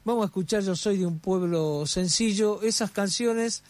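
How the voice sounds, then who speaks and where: A man's voice: high-pitched, normal speed, a little mellow, dry recording. A man hosting a radio show, commenting casually and rambling with many fillers and restarts. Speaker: radio host.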